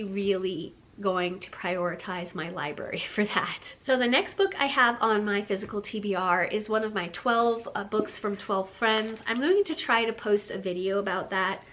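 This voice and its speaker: Female book vlogger, casual and chatty, nasal from a cold, mid-pitched. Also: fairly bright tone. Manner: chatty, lots of fillers and light laughs. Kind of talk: casual book haul chat.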